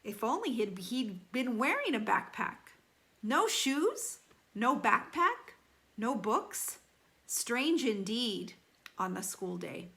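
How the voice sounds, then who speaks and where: A woman reading a children's novel aloud like a teacher, animated, with deliberate emphasis and dramatic slowing on key phrases. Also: a little high. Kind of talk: expressive children's read-aloud.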